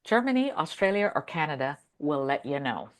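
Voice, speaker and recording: Low-voiced woman, lively storyteller. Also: brisk. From Brazil. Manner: animated storyteller who acts out quotes. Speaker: podcast conversation partner. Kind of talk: casual conversation.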